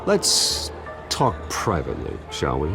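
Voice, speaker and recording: An actor playing a suave, guarded, wealthy playboy with dry wit in a video game. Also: male voice, a bass voice, wildly swooping pitch, takes it slowly, music in the background, heavily acted. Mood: firm.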